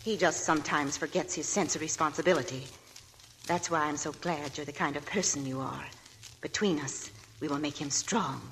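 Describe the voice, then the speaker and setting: A woman's voice: low voice, moderate pace, fairly bright tone. An actress playing an anxious, genteel older mother of an old family, gracious and hesitant, in 1940s radio acting. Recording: old radio broadcast with faint noise.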